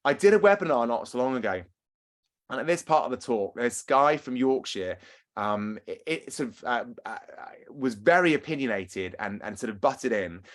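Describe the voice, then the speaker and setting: Friendly male coach hosting a webinar Q&A, casual and lively. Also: medium pitch, moderate pace. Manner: chatty, upbeat, asks audience questions. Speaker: running coach. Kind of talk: webinar talk and Q&A.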